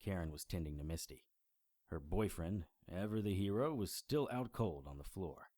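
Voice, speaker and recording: A man's voice: sits low, moderate pace, dry recording. An actor playing a dry, wry male occult investigator who narrates his case in an audio drama. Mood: grim, wry. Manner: deadpan, wry. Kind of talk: first-person detective audio drama narration.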